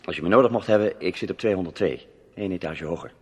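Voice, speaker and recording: An actor in a radio drama playing an understated, slightly hesitant, apologetic newspaper journalist. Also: male voice, sits low, fast talker, a little mellow, breathy edge. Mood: subdued, apologetic. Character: low-key, apologetic male newspaper journalist. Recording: reverberant, echoey old radio recording.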